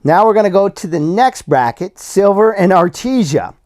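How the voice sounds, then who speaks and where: A man hosting a solo sports podcast, slightly hoarse and losing his voice, who gives bracket predictions in a casual, plain-spoken way with many fillers and restarts. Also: sits high, animated, moderate pace, a little mellow. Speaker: podcast host.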